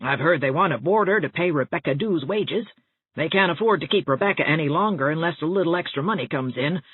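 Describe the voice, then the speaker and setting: Woman voicing a husky, gossipy older village woman. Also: low voice, expressive, brisk, phone-quality sound. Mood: matter-of-fact, knowing. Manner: folksy, rambling, confiding. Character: chatty, gossipy older small-town woman, well-meaning busybody. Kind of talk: audiobook character dialogue. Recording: thin band-limited audio, roomy echo.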